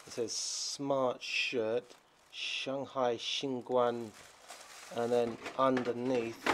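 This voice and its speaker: A man's voice: medium pitch, a little hiss or hum. A man casually narrating as he explores an abandoned hospital, chatty and curious, explaining what he finds. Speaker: urban explorer host.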